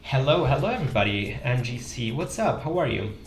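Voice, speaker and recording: A man's voice: mid-range voice, lively intonation, brisk. Chatty Italian man on a livestream. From Italy. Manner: chatty, thinks aloud, trailing fillers. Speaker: livestream host. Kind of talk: casual live-stream chat while drawing. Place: small room indoors. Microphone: headset. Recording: echoey room with faint music.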